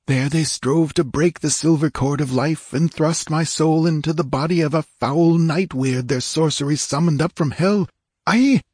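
A man's voice: darkish tone, slightly rough, no room sound, clean studio sound. A man reading an audiobook, voicing a dying young king whose soul is being dragged away by wizards, pleading and commanding. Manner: desperate, pleading, high-pitched strain.

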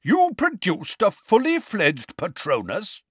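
Man as a booming, formidable witch judge, high-pitched. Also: huge pitch swings, dry recording, studio quality, heavily acted. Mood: booming, probing. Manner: booming, brisk.

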